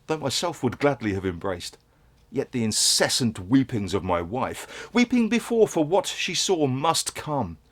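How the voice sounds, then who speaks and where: An actor playing a grieving, world-weary merchant father in a Shakespearean stage monologue, speaking in solemn, measured, theatrical verse. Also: mid-range voice. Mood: grave, mournful.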